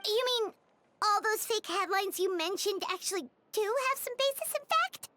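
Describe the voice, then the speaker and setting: Squeaky, very high childlike fairy. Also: female voice, lively intonation, moderate pace, heavy background noise. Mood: puzzled. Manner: exclamatory, dramatic, talks about self in third person. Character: small floating fairy companion, childlike, excitable, easily flustered girl. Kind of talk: video game voice acting.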